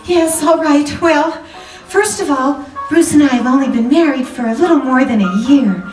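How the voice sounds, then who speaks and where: Roomy, off-mic recording: actress as worried genteel young wife, high voice, polite and hesitant, old radio drama. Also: female voice, expressive, normal speed. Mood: anxious, polite. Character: anxious, genteel young married woman seeking a detective's help. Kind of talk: 1940s radio drama acting. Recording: roomy, distant microphone, music behind.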